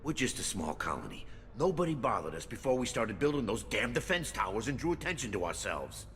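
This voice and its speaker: An actor playing a rattled, resentful colony mechanic in a video game, suspicious and grumbling. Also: male voice, fairly low pitch, sing-song, brisk, a bit bright, long reverb tail, slight background noise. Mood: bitter, suspicious. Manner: grumbling, agitated.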